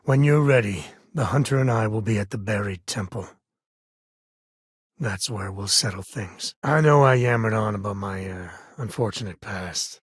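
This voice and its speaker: A husky, easygoing space-frontier ranger. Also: male voice, lowish voice, moderate pace, no echo, clean studio sound. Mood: earnest, dry. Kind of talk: video game voice acting.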